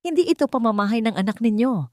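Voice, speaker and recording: A woman's voice: on the high side, animated, fast, a little mellow, dry recording, clean studio sound, voice pushed up, natural voice. A woman voicing a fed-up wronged wife in a story, emphatic and pleading. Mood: defiant, angry.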